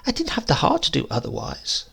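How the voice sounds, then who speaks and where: A man's voice: medium pitch, wildly swooping pitch, brisk, creaky, sharp tone, breathy edge, exaggerated voice. A man voicing a chatty, defensive landlady of nearly seventy in a horror story reading, rambling and confiding in colloquial phrases. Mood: tender, self-justifying. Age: older.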